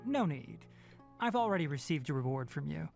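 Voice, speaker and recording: A man voicing a young, calm and pragmatic fox-eared ranger mentor in a video game, speaking in a measured, precise, articulate way. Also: a little high, vocal fry, over music. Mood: composed, reassuring.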